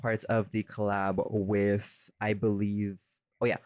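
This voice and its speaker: A man's voice: fairly low pitch, monotone, slow, darkish tone, no room sound, clean studio sound. A man hosting a casual podcast, bantering and rambling as he corrects himself and slips into playful mock-formal introductions. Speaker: podcast host.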